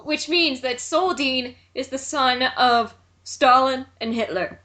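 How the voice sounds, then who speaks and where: A woman's voice: sits high, slow, bright, forceful tone. A woman in casual commentary with others, indignant, repeating herself and swearing freely. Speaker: panelist in a group mocking a written bio.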